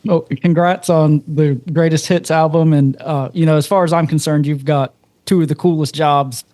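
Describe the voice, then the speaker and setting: A man's voice: on the high side, fairly even intonation, normal speed, dark tone, no room sound. A man co-hosting a podcast, asking friendly questions and encouraging his guest. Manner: friendly, encouraging, lots of affirming backchannels. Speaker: podcast co-host. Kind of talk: casual interview chat.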